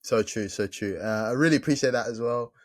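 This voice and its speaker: A man hosting a casual podcast interview, relaxed, laughing often and repeating words as he thinks. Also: medium pitch, brisk. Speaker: podcast host.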